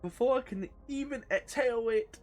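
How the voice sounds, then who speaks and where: A woman's voice: fairly high pitch, normal speed, darkish tone. A woman giving loose, rambling playthrough commentary as a game streamer, casual and sweary, sometimes reading game text aloud. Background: game music.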